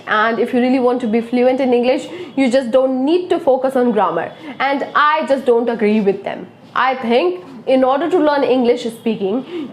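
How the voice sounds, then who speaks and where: A woman with an Indian accent teaches spoken English in an earnest, coaxing way, tagging her points with "okay?". Her voice sits fairly high. The recording has an echoey room sound. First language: Hindi. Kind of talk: motivational video lesson.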